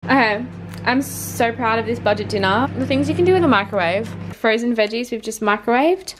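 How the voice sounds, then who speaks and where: A young Australian woman talks to her handheld vlog camera in a casual, chatty way full of slang. Her voice sits a little high, and there is faint noise behind it. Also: animated, brisk. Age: 20s. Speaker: vlogger, sprinter. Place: small motel room, sometimes just outside it. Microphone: handheld vlog camera at arm's length.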